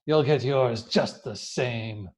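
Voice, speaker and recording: A man playing a rough, cocky escaped killer with threatening street-tough slang, in old-time radio crime style. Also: medium pitch, slow pace, darkish tone, lightly acted. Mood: taunting.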